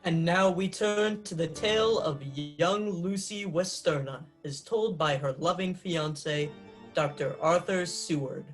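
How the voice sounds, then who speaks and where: A man's voice: a little high, soft background music. A young man narrating a student radio play in an announcer-like manner. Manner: slow, announcer-like delivery.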